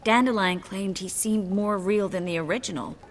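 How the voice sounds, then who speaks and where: A woman playing a composed, worldly sorceress in a video game, with a measured, dry, wry delivery. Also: mid-range voice, lightly acted. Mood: dry, thoughtful. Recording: faint ambient noise behind.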